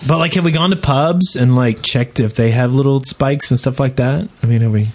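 A man's voice: medium pitch, moderate pace, darkish tone. A man co-hosting a podcast in a casual, conversational group chat.

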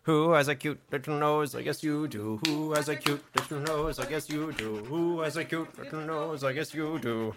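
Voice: mid-range voice, moderate pace, few pauses. Playful voice actor with a noisy background. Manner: laughing, playful, repeating a hard name. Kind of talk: casual home recording outtakes.

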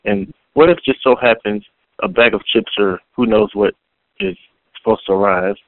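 A man's voice: on the low side, expressive, normal speed, no echo. A man from Texas leaving a rambling, laid-back voice message for a podcast. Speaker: listener sending a voice message. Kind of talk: casual voice message. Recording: tinny phone-quality recording.

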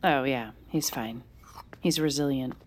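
A woman's voice: a bass voice, measured pace, a little hiss or hum. An actress playing a worried, protective sister and mother in naturalistic, earnest audio drama dialogue. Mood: gentle. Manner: earnest, cautioning.

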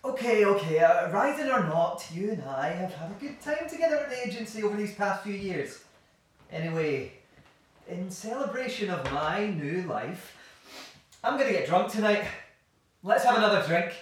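A woman's voice: medium pitch, very animated, normal speed, close to an everyday voice. A woman playing a jovial, hard-drinking office worker in an audio play, theatrical and easygoing. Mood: merry. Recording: very echoey room, distant, faint noise.

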